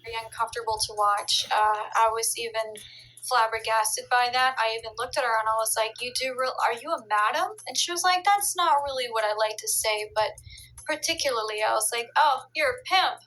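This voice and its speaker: Bright-voiced woman telling a story. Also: fairly high pitch, fairly quick, rough recording. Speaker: spiritual practitioner recounting a session. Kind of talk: casual storytelling to camera.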